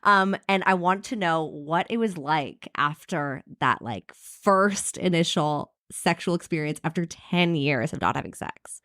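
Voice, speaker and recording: Young woman host, lowish voice, super casual, swears, dry sound. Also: lively intonation, unhurried, few pauses, fairly bright tone, no room sound. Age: young adult. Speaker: podcast host. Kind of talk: casual chat.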